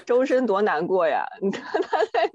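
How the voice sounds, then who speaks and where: A woman's voice: mid-range voice, very animated, normal speed, muffled recording. A woman co-hosting a casual podcast chat, playful and teasing in the way she talks. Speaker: podcast co-host.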